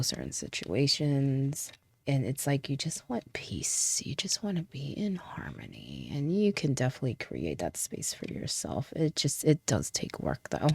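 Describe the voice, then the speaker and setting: Deep-voiced woman with a stuffy cold. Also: warm tone, breathy edge, no echo. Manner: casual and chatty, with frequent laughs and fillers. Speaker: tarot reader. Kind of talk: casual tarot reading to camera.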